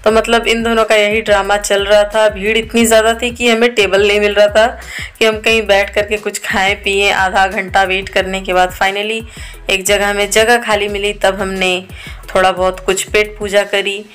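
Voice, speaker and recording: A woman's voice: medium pitch, flat delivery, normal speed, fairly bright tone, smooth, soft background music. A woman in her thirties from India narrating her family's day out in a casual vlog voice-over, chatty and storytelling. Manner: chatty, storytelling, filler-heavy. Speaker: vlogger.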